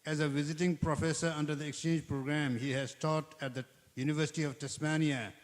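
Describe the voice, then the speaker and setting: Formal conference moderator, reverberant hall. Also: male voice, on the high side, flat delivery, brisk. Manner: formal, frequent uh fillers. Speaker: session moderator. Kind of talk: reading speaker introductions.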